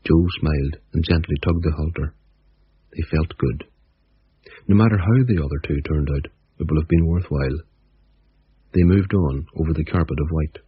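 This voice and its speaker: A man narrating a radio drama in a measured storytelling manner, on dull old audio. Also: extremely low pitch, slightly rough. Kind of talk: scripted narration.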